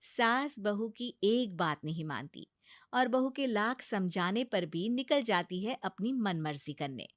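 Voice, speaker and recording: A woman's voice: medium pitch, expressive, a little slow, no echo, clean studio sound. A woman narrating a scripted cartoon story as the storyteller.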